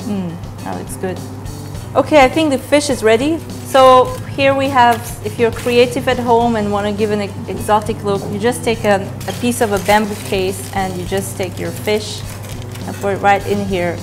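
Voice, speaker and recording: A young adult woman hosts a cooking show, calmly walking through the recipe step by step, recorded indoors in a kitchen studio on a lapel mic. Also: mid-range voice, normal speed. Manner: relaxed, step-by-step instructional. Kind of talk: cooking demonstration. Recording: studio cooking show with music under the voices. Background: music, clinking pans and cutlery.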